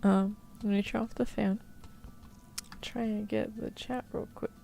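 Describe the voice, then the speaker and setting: A woman's voice: medium pitch, steady tone, unhurried, creaky, dark tone, slight background noise. A woman hosting a livestream, chatting casually and informally in a rambling way with her viewers. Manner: informal, mild swearing, rambling. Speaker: VTuber livestream host.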